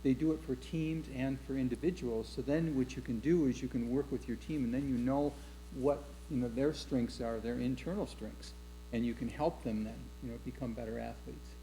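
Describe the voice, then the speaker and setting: A man's voice: mid-range voice, normal speed, dark tone, a little hiss or hum, poor audio. A man speaking at a school board meeting, chatty and rambling with many "you know"s. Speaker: board member.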